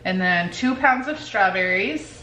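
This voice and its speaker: A woman's voice: medium pitch, animated, unhurried, background noise. A woman in her forties chatting informally and giving recipe instructions in a home kitchen, with a teasing, casual manner. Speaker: home cooking vlog host.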